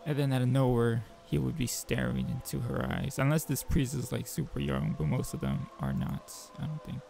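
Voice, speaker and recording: A man with a gravelly voice reading a visual novel aloud as a game player, mixing narration with informal joking asides. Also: mid-range voice, very animated, moderate pace, dark tone, faint music behind. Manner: informal, joking commentary between read passages.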